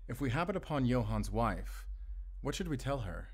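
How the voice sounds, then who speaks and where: A man's voice: mid-range voice, sing-song, normal speed, slightly rough. A man playing a wry, confident wolf hunter in an audio roleplay, talking in a teasing, conversational way to a companion. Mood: matter-of-fact. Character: wry, playful male German wolf hunter, confident, protective. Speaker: voice actor. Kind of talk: cinematic audio roleplay acting.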